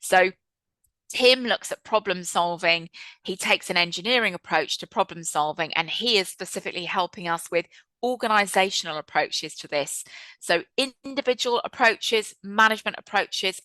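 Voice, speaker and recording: Bright, chatty woman in her fifties. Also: mid-range voice, dry recording, poor audio. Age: 50s. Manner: chatty, lively and reflective. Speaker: webinar host and trainer. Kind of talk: presenting a webinar.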